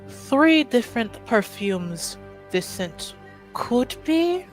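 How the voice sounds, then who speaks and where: A woman acts out a haughty envoy of a noble house in a tabletop game. She is poised and condescending, taking her time with expressive pitch. Faint music plays behind her. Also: very slow, heavily acted. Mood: haughty, cool. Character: haughty, exacting noblewoman's envoy shopping for perfume.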